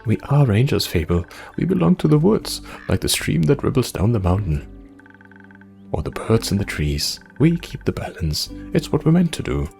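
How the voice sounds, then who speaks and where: A man's voice: medium pitch, big pitch swings, normal speed, vocal fry, darkish tone, soft background music, voice pushed up. A man voicing a young forest ranger in a fantasy game, dreamy, earnest and yearning for adventure. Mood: wistful, frustrated.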